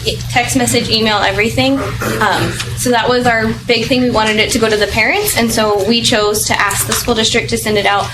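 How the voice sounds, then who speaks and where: A woman at a school board meeting explaining to a parent how a survey went out, with quick restarts. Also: fairly high pitch, fairly even intonation, distant mic, music in the background, rough recording.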